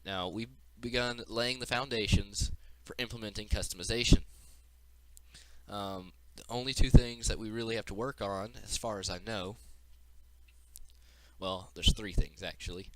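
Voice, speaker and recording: A man's voice: lowish voice, fairly even intonation, moderate pace, poor audio. A man giving an informal, unscripted walkthrough of a game demo as its developer, explaining as he goes, with audible breathing into the mic.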